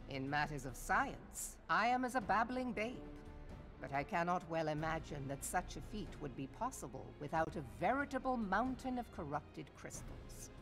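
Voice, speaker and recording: An actress playing a blunt, commanding, battle-hardened admiral in a fantasy game, with stern, formal, archaic speech. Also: female voice, on the low side, animated, moderate pace, fairly bright tone, faint music behind, rough recording. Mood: measured, formal. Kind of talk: fantasy video game cutscene acting.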